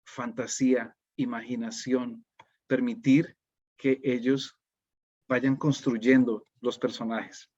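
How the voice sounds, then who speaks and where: A middle-aged man with a Colombian accent presents a teaching webinar in a calm, unhurried way and often checks in with his listeners. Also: medium pitch, dry recording. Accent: Colombian Spanish. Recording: dull, dry, lo-fi webinar audio.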